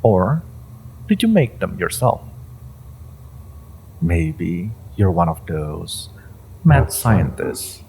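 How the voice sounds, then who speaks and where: Deep gravelly male monster interrogator, acted by a man, taunting, menacing, theatrical pauses, audio drama, slightly noisy recording. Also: sing-song, warm tone, heavily acted. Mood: mocking, probing. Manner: theatrical, taunting, drawn-out phrasing with pauses and whispers. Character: sinister inhuman male interrogator, mind-reading monster, mocking and menacing. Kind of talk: dramatic audio roleplay monologue to the listener.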